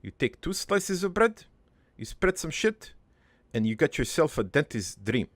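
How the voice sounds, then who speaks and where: A man playing a crude but wistful old dead father in a cartoon, rambling through his life story in a halting, gravelly, improvised monologue. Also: fairly high pitch, very animated, dry recording. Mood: gleeful boasting. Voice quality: gravelly, creaky.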